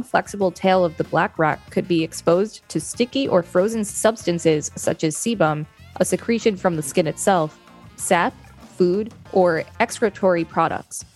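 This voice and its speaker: A woman with a slightly low voice reads an article aloud as a co-host. Her delivery moves between plain informative reading and casual conversation. Soft music plays faintly underneath.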